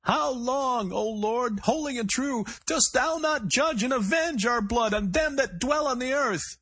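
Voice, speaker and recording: A man voicing a male fanatic who shouts scripture in a high, strained, cracking voice. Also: expressive, husky, full character voice. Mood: fervent. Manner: archaic scripture chanted slowly and fervently.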